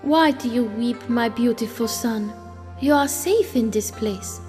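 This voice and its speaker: Woman acting a serene, high-voiced spirit mother. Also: unhurried, mellow, soft background music, lightly acted. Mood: serene, tender. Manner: formal, soothing, solemn phrasing. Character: gentle, serene spirit mother comforting her grown son. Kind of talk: fan comic audio drama acting.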